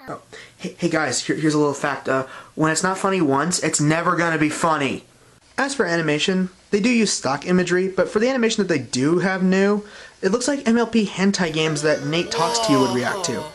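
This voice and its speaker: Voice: mid-range voice, lively intonation, brisk. A reviewer giving blunt, opinionated scripted commentary with a sardonic manner. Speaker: reviewer, host of an online review show.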